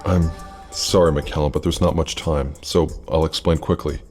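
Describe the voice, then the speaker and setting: An actor playing a secretive, centuries-old immortal leader in an audio drama, speaking with measured, formal authority. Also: male voice, very deep voice, fairly even intonation, a little mellow, faint music behind. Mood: grave, businesslike.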